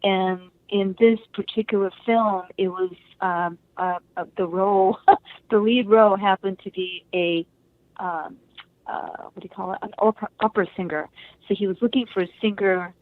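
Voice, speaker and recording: A woman's voice: mid-range voice, steady tone, normal speed, dry recording. A woman guest telling stories in a casual interview, chatty and laughing often, switching into playful voices for quoted people. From Japan and United States. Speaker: interview guest, singer and actress. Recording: dry, tinny phone-like line.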